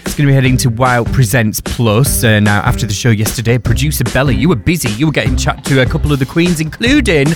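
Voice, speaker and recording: Man, morning radio host, breezy, teasing, mid pitch, music bed under him. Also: animated, fast, few pauses.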